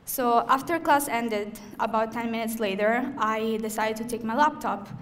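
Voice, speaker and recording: Young woman with an Italian accent, a student speaking at a ceremony; earnest, a touch halting, pitched a little high. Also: fairly bright tone. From Italy. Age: young adult. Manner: earnest, slightly halting, with frequent "um" pauses. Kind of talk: prepared ceremony speech. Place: event hall.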